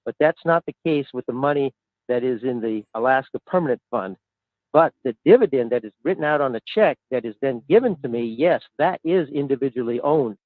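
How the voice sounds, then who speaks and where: Over a narrow, tinny phone line, a man makes an argument as a caller. His wording is formal and measured, almost legalistic, in a mid-pitched voice with no room sound. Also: lively intonation, no room sound. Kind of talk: argumentative explanation.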